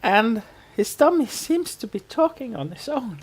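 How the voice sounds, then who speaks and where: A woman's voice: on the high side, very animated, measured pace, husky. A woman co-hosting a podcast in a casual recap chat, telling the story and acting out quotes as she goes. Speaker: podcast co-host.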